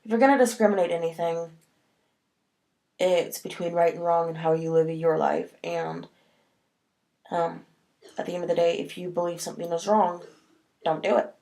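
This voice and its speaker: A woman's voice: fairly low pitch, steady tone, moderate pace, vocal fry, long pauses, dark tone, low-quality recording. A woman talking casually to camera in a vlog, sniffly from a cold and rambling with many fillers. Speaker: vlogger.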